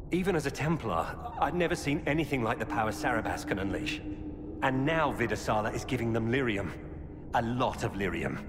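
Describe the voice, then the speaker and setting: An actor playing a grim former Templar turned Qunari informant in a video game, speaking in a grave, measured, earnest way. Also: male voice, mid-range voice, lightly acted. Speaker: game character. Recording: game audio with long reverb and ambient noise.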